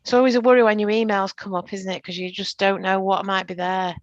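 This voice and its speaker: A woman's voice: medium pitch, level tone, quick pace, dry recording, lo-fi sound. A middle-aged woman from the north of England with a northern English accent, chatting and joking as a trainer on a video call through a headset. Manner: chatty, self-deprecating, joking. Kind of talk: casual presentation on a video call.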